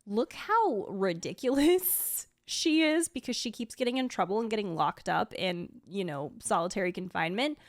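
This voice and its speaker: A woman giving casual, gushing reaction commentary in a conversational, expressive way. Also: mid-range voice, moderate pace, few pauses, no room sound, clean studio sound. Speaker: streamer ranking game character demos. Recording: music playing behind the voice. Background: background music.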